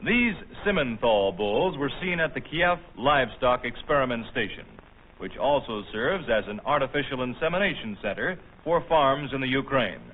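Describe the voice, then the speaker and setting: American guy narrating an old 50s newsreel, formal and measured but lively pitch, thin tinny film sound. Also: slight background noise. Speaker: documentary narrator. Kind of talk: scripted 1950s newsreel narration.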